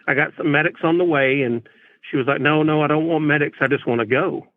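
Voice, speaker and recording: A man's voice: on the high side, a little fast, no echo, narrow, tinny audio. A polite, plain-spoken man, a police officer, recounting events conversationally as an interview guest.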